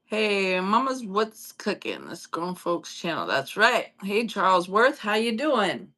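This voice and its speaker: A woman from upstate New York co-hosting a livestream, chatting informally with viewers in relaxed, slangy everyday talk. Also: mid-range voice, slow pace. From upstate New York, United States. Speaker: co-host of a livestream. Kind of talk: casual livestream chat with viewers. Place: remote joint livestream, speakers in different states.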